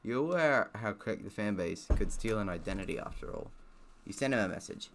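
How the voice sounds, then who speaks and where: A man's voice: fairly low pitch, animated, a little fast, fairly bright tone. A man streaming a game, joking and swearing casually as he comments and reads its text aloud. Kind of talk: casual gaming commentary, reading visual novel text aloud.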